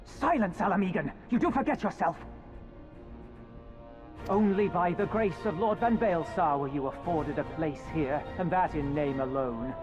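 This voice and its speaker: A man's voice: on the high side, normal speed, over music, lightly acted, rough recording. A man playing a stern, proud imperial officer in a video game, formal and clipped in delivery. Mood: outraged, scornful. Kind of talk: dramatic video game cutscene acting.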